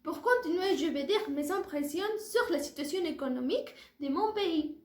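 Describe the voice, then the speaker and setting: A woman's voice: extremely high pitch, expressive, moderate pace, a little mellow. A woman in her early twenties with a Mexican accent, a native Spanish speaker giving a careful, formal prepared oral presentation as a language student. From Puebla, Mexico. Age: early 20s. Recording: echoey room sound.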